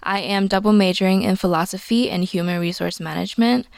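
A woman in her twenties who grew up in Singapore, a student guest giving earnest, measured answers on a podcast. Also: medium pitch, vocal fry, darkish tone, dry recording, studio quality. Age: 20s.

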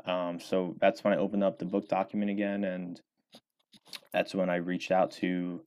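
Low-voiced young man, rambling answers. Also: flat delivery, moderate pace, darkish tone. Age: 20s. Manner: rambling, many fillers and restarts. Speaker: author and former college baseball player. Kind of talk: casual interview answers.

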